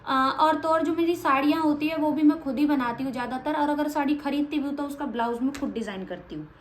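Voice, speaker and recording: A high-pitched woman, speaking plainly and a little bluntly as she answers her viewers. Her voice rings in an echoey room. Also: quick pace, slight background noise. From Haryana, India. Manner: frank, matter-of-fact, a little blunt. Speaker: vlogger. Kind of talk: casual Q&A vlog.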